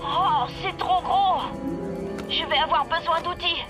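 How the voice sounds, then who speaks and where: An actor playing a kind, earnest, worried young boy in a French cartoon dub, gentle and reassuring. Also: extremely high pitch, slow pace. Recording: music under the voice.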